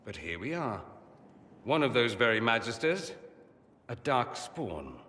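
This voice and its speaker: A flamboyant, self-assured mage from a fantasy game. His sharp, bright voice leaps about theatrically with sardonic wit, ringing in a long reverb. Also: mid-range voice, big pitch swings, a little slow, a little hiss or hum. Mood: brooding. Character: flamboyant, witty, vain male mage from a foreign empire. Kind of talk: dramatic video game cutscene acting.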